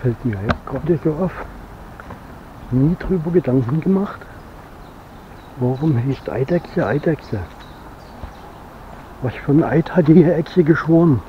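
A middle-aged man thinks aloud in a casual, unhurried vlog outdoors. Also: on the high side, muffled recording, soft background music. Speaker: geocaching vlogger. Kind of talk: casual musing vlog narration. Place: outdoors on forest paths, later inside a parked van.

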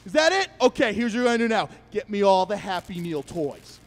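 A man playing a short-tempered, profane fast-food robber in a comedy sketch, ranting and bullying as he makes petty demands. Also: high voice, very animated, big-room sound. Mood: barking.

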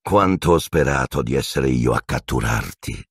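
A man's voice: deep voice, lively intonation, moderate pace, dry recording, studio quality. A man voicing a vindictive, sneering male schoolteacher unhinged with rage in a storybook reading, menacing, hissing and shrieking. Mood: gloating.

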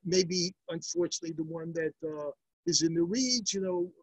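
An older man leads a Talmud class with a husky, slightly breathy voice, on a dry, close laptop mic. He reads a passage, then retells it as a lively story with asides to his students. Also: a little high, normal speed, no room sound, poor audio. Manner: lively storytelling with big hand gestures and asides. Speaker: Talmud teacher. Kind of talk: daily Talmud class, reading and explaining. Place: indoor study room lined with bookshelves. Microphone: laptop webcam, seated close to the screen.

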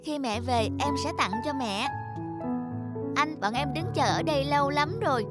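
A woman voicing a small, earnest child in an animated moral story, polite and pleading. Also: sits high, normal speed, lightly acted. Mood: earnest, hopeful. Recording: music behind the dialogue.

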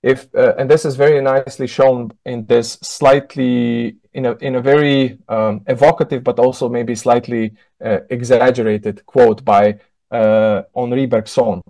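A man in his thirties, a native Slovene speaker, gives a keynote lecture from a room over a video call. His voice sits at a middle pitch and sounds dry and close, with no room echo. He talks in a measured way and often stops to correct himself mid-word. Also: steady tone, dark tone. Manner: measured, with frequent self-corrections and fillers. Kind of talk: academic lecture.

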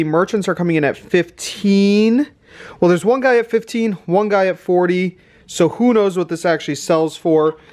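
A man with a fairly high-pitched voice gives casual, off-the-cuff vlog commentary. He thinks aloud as he tallies things up. The recording has noticeable background noise. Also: darkish tone. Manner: thinks aloud while tallying numbers. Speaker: reseller host of a thrifting channel. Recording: clear background noise, clicks.

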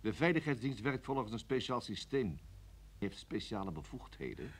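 An actor playing a cool, formal security service interrogator in a radio play. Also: male voice, medium pitch, very animated, slightly rough. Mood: cool, insistent. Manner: formal, measured.

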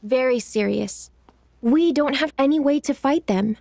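Casting: earnest young game heroine, high clear-cut pitch, dry recording. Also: female voice, a little slow, dark tone, no echo. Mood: serious. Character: earnest young woman on hero team. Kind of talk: video game cutscene acting.

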